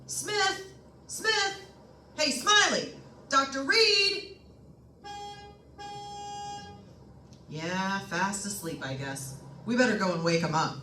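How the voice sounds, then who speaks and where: A woman's voice: mid-range voice, sing-song, slow pace, bright, forceful tone, heavily acted. A woman playing a folksy, colloquial, eager real estate agent in an old-time radio suspense drama. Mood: calling out, puzzled. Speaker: radio play performer. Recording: roomy, distant microphone, clear background noise.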